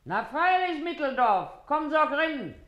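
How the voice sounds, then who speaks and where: An actress playing a shrewd, hard-working washerwoman with a Berlin accent and a brisk, folksy manner in a drama. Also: high voice, sing-song, muffled recording, a little hiss or hum. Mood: brisk, grumbling. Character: shrewd, quick-tongued, hard-working washerwoman and sly thief.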